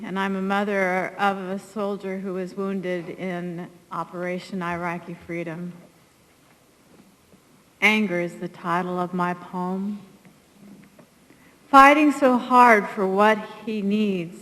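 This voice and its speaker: A woman's voice: mid-range voice, a bit bright. A middle-aged woman, a soldier's mother, reads her own emotional poem in emphatic, weighted phrases. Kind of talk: emotional poetry reading. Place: large hall at a public ceremony. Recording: echoey hall with long reverb.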